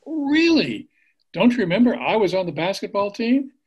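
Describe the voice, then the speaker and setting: A man's voice: high voice, big pitch swings, normal speed, breathy edge, lo-fi sound, full character voice. A middle-aged actor playing a dad who gets defensive and indignant about his past, on a video call.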